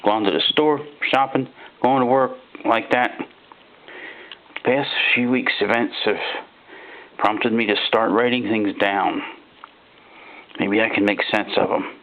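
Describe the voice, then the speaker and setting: A man narrating a first-person horror story in a folksy, halting, conversational way, like an ordinary guy confiding. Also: lowish voice, fairly even intonation. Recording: thin phone-like band-limited sound.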